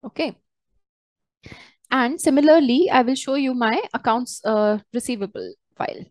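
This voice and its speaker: A woman walking through a software tutorial step by step as a trainer, in a hesitant, halting way. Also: high-pitched, no room sound. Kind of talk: screen-share software tutorial, unscripted walkthrough.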